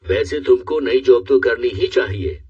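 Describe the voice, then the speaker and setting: A man playing an animated male character in a dubbed family cartoon. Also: mid-range voice, moderate pace, no echo, distant mic, natural voice. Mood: mild, advising. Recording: muffled lo-fi cartoon audio, loud music.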